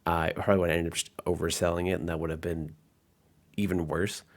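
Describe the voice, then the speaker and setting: A man's voice: deep voice, flat delivery, fast. A man working as game master, narrating and commenting on a tabletop game in an informal, explanatory way.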